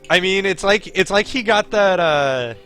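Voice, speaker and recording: A man with a bright, forward voice reads a visual novel aloud on a stream. He moves between expressive narration and casual, offhand asides about the game, with music playing behind him. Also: sits high, a little slow.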